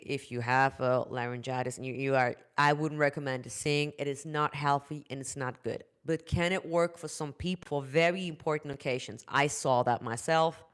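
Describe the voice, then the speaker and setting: A woman's voice: deep voice, clear, resonant tone. German-accented woman vocal coach. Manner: chatty, repeats words, frequent "right?" checks. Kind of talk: casual talking-head singing lesson.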